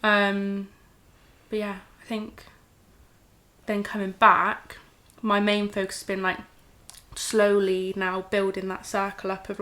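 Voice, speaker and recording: A woman's voice: mid-range voice, fairly bright tone. A woman, chatting casually as a guest and filling her talk with "like". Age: 20s. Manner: chatty, lots of "like" fillers. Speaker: guest, host's sister. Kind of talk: casual chat.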